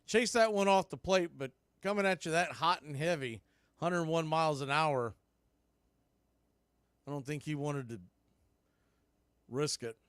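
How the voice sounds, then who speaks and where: A man doing radio baseball play-by-play, making steady calls mixed with conversational analysis. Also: fairly high pitch, unhurried, long pauses, clear, resonant tone, no echo. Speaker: play-by-play announcer.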